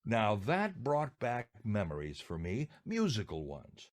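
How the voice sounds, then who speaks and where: A man's voice: fairly low pitch, wildly swooping pitch, a little slow. An older American man from New Jersey co-hosting a podcast with jokey, theatrical scripted banter. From New Jersey, United States. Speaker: podcast co-host.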